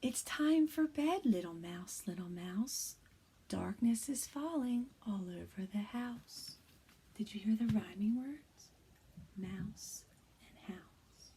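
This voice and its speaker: A woman in her thirties, a kindergarten teacher, reading a bedtime picture book aloud to young children in a soft, hushed voice that often drops to a whisper. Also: mid-range voice, expressive, takes it slowly, slight background noise. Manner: gentle and encouraging to young children.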